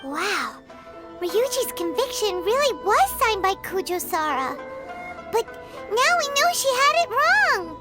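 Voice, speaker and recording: A squeaky, sky-high little fairy girl, chirpy and nosy, her voice leaping wildly up and down and dragging out slowly. Game music plays loudly right under her in the mix. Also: full character voice. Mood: curious. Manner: excitable, gasping, exclaiming. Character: tiny floating female fairy companion, chirpy and nosy. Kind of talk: video game voice acting.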